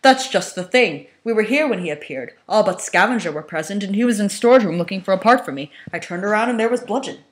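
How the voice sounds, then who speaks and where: A woman's voice: mid-range voice, expressive, fast talker, hardly any pauses, voice pushed up, close to an everyday voice. A woman voicing a busy, irritable male robot medic, clipped and exasperated, in a dramatic story reading. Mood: defensive.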